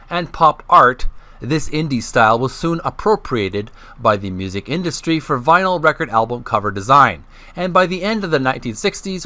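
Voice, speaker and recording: Male gaming vlogger, animated intonation, bright tone, hesitant delivery, casual presenting and read-aloud. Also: mid-range voice. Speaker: host of a video gaming channel. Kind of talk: casual vlog intro and reading aloud from a book.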